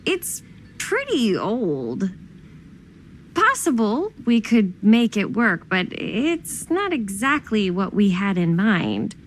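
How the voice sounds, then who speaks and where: A woman's voice: medium pitch, huge pitch swings, slow, slight background noise. An actress playing a wary, polite young woman customer, casual and hesitant, in a naturalistic video game scene. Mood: hesitant, haggling.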